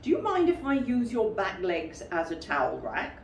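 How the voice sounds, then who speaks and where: Woman voicing a fussy, emphatic storybook rabbit, swooping pitch. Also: huge pitch swings, normal speed. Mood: cheerful. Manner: emphatic, matter-of-fact scolding. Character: Rabbit, a brisk, stern, fussy male rabbit. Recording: roomy, distant mic with faint noise.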